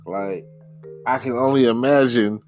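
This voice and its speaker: A man from Texarkana in the United States hosting a casual solo podcast, talking in a halting, rambling way with repeated words and frequent check-in phrases. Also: mid-range voice, darkish tone, faint music behind, rough recording. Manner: rambling, halting, repeats words, says "you know" often. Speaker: podcast host.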